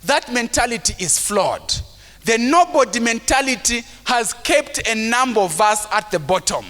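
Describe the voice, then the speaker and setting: A man gives a motivational talk at a conference, preachy and sometimes shouting his points. His voice sits very high and sounds bright and forceful, with a mild stammer he works around. It rings out with the long reverb of a big hall. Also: lively intonation, moderate pace. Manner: preachy, rising to shouts for emphasis; acts out timid voices of self-doubting people. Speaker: motivational speaker. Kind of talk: motivational talk to a live audience.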